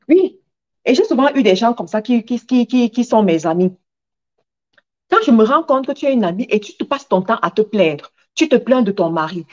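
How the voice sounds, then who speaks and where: A woman's voice: on the high side, darkish tone. Emphatic female motivational speaker, slightly muffled sound. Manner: emphatic, repeats phrases for effect. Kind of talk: motivational talk with personal anecdotes.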